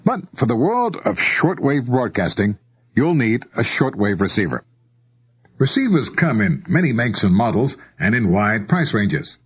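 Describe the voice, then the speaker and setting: A man's voice: mid-range voice, very animated, unhurried, slightly rough. An American man reads scripted instructional narration, explaining things step by step and speaking directly to the listener. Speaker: narrator of an instructional audio tape. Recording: dull lo-fi old cassette tape.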